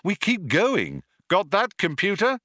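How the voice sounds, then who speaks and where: Man doing a loud, cocky space adventurer. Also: high-pitched, wildly swooping pitch, a little slow, no echo, studio quality, voice pushed up, exaggerated voice. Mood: brash. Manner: loud, punchy, impatient. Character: brash, cocky, excitable male space adventurer.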